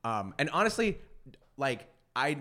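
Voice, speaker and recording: A man's voice: mid-range voice, sing-song, unhurried, pushed and bright. A man co-hosting a casual podcast about cocktails, brash and joking, swearing freely and restarting his sentences. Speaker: podcast co-host.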